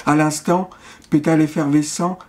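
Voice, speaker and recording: A man reads poetry in a mellow, fairly high voice. His delivery is very even and measured, broken into short fragments with pauses between them. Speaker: poet. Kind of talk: poetry reading.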